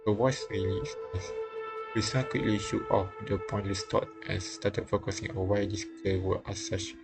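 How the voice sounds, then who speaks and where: A man with a fairly low voice narrates a visual novel live. He reads haltingly and adds casual remarks, with game music clearly behind him. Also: flat delivery. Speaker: streamer reading a visual novel aloud. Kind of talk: live read-aloud narration with casual comments.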